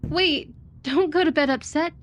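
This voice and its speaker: Lady doing a sweet clingy hybrid girl for asmr roleplay, high voice, coaxing, a bit sly. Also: a little slow, dull audio, slight background noise. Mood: sweet, caring. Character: sweet, devoted, sly yandere animal hybrid roommate, female. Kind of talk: ASMR audio roleplay acting.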